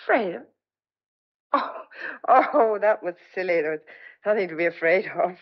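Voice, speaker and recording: A woman's voice: fairly low pitch, lively intonation, normal speed, dull audio. An actress playing an anxious, defensive but tender young country woman in an old-fashioned radio play, earnest and hesitant. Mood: flustered, evasive.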